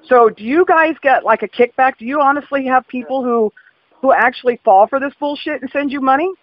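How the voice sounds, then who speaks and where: A person talking casually and bluntly on a telephone call, questioning the other party. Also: male voice, extremely high pitch, brisk, no echo. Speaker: call recipient. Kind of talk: casual phone conversation. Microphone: telephone, dry close pickup. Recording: dull, lo-fi phone call recording.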